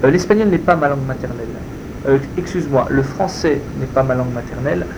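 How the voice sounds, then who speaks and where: A man whose first language is Spanish, answering interview questions in a deliberate, hesitant way with many fillers. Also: medium pitch, vocal fry, muffled recording. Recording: steady background noise.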